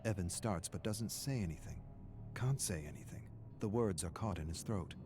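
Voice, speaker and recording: An actor playing a grim storyteller, reading a dark family tale for a video game in a solemn, deliberate, menacing way. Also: male voice, low voice, over music, close to an everyday voice. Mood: somber. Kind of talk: dramatic scripted third-person narration.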